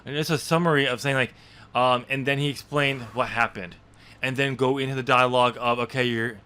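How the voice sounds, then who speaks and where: Author guy hosting a chat podcast, bright sharp voice, laughs at himself a lot, stumbly. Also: mid-range voice, steady tone, fairly quick, smooth. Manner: self-deprecating, laughs often, stumbles and restarts. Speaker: podcast host and author of the novel.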